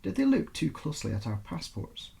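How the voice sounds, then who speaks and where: Audiobook role: wary fugitive mother played by a male reader, guarded, faux-casual, with a gravelly edge. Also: fairly high pitch, huge pitch swings, fairly quick, dark tone, voice pushed up, full character voice. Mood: anxious inner thoughts. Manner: guarded, feigning casual indifference. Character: wary, anxious Romanian mother on the run.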